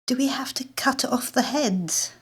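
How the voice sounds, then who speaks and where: A woman's voice: sits high, unhurried, fairly bright tone, slightly rough, voice pushed up, heavily acted. A woman voicing a timid, squeamish younger sister, a little girl whispering anxiously in a short story. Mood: anxious, pleading.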